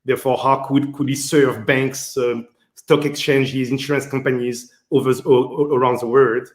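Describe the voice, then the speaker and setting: A man's voice: medium pitch, little pitch movement, moderate pace. French-accented man with a hesitant delivery. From Martinique. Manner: hesitant, many fillers. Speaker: guest executive. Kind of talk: interview answers. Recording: roomy echo.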